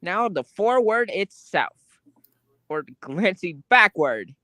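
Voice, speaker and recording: A woman's voice: fairly low pitch, very animated, takes it slowly, sharp tone, dry recording, clean studio sound. A woman co-host making casual comments and short reactions during a reading.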